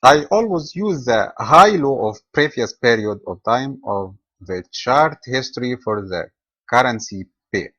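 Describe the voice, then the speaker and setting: A man from Egypt hosts an online trading webinar, reading his lesson at a steady, even pace with slightly non-native phrasing. The recording is dry and somewhat dull, with a mid-range voice. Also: medium pitch, unhurried, no room sound. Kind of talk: scripted webinar lecture.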